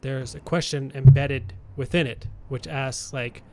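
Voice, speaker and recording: A man's voice: medium pitch, steady tone, a little slow, dark tone, slight background noise. A Canadian man co-hosting a marketing podcast, chatting casually and thinking aloud, with frequent restarts and chuckles. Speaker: podcast co-host.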